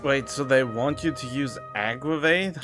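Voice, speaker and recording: A man's voice: mid-range voice, measured pace, over music. A man giving casual live commentary as a game streamer, searching for his words.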